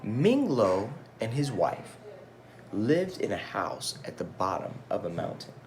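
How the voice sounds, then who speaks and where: A male teacher reads a picture book aloud with very lively intonation. He speaks to children in casual asides, with faint noise behind his voice. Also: fairly low pitch, a little slow.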